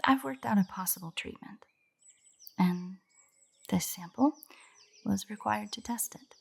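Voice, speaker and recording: Woman playing a breathy, nerdy fantasy royal botanist. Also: mid-range voice, a little slow, a little mellow, exaggerated voice. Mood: earnest. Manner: precise, formal, lecturing. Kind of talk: roleplay audio drama, scripted monologue to the listener. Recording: birdsong behind the voice. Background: birdsong, garden ambience.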